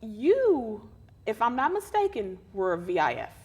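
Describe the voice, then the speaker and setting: A woman hosting a TV interview, asking questions in a lively, chatty way. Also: fairly high pitch, a little slow, breathy edge. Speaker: show host.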